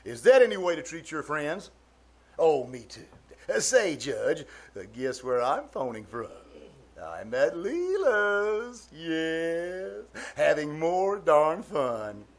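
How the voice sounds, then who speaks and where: Man playing a blustering, swooping high-voiced radio uncle. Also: big pitch swings, slow, heavily acted. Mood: jovial, wheedling. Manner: blustering, chuckling, hemming and hawing. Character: pompous, jovial, flirtatious middle-aged radio comedy bachelor uncle. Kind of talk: 1940s radio comedy acting before a live audience. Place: small-town theater stage.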